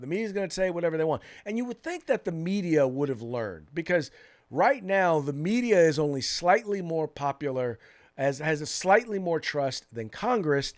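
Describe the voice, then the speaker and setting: An American man co-hosting a political talk show, giving opinionated commentary in an emphatic way, repeating phrases for stress. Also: fairly high pitch.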